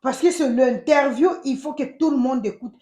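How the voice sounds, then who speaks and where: A woman with a Congolese accent giving emphatic, animated casual commentary as a channel host, switching between French and Lingala. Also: high-pitched, normal speed, echoey room. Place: United States.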